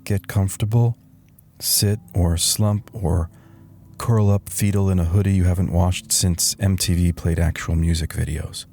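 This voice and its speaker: A man playing a wry, weary middle-aged slacker turned meditation guru, narrating a satirical guided meditation in a deadpan, soothing way with deliberate pauses. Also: deep voice. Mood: calm, deadpan. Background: soft background music.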